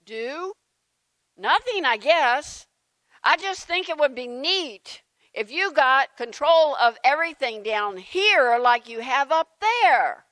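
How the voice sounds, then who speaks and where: A woman's voice: sits high, lively intonation, takes it slowly, a bit bright, no echo, full character voice. A woman playing a flustered, defensive churchgoer at prayer, exclaiming indignantly in a comic dramatic reading. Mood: casual, unsure.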